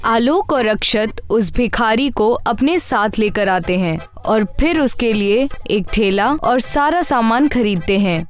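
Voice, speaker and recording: A woman narrating a scripted animated tale as a storyteller. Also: a little high, slow pace, few pauses, faint music behind.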